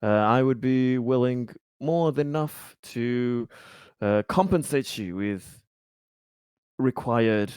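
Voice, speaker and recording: A man playing a polite colony official in a tabletop game, speaking in a halting, formal and ingratiating way. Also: medium pitch, darkish tone, clean tone, no room sound, studio quality, natural voice. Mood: earnest, bargaining. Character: polite, diplomatic colony official, eager to please.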